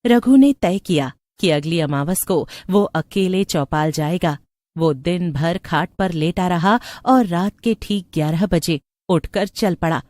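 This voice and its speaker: A woman's voice: lowish voice, slow, a little mellow, no room sound. A woman narrator reading a scripted story.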